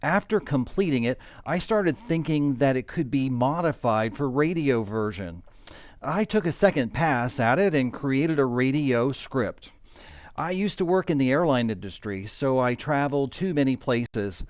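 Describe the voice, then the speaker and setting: A man's voice: medium pitch, animated, unhurried, no echo. A man giving a prepared, personal introduction as a playwright.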